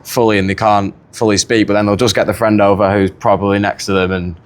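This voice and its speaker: An English man with a Manchester accent, chatting casually as a podcast guest, relaxed and full of repeats. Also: lowish voice, fast talker. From England. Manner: relaxed, chatty, lots of "you know" and repeats. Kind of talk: casual conversation.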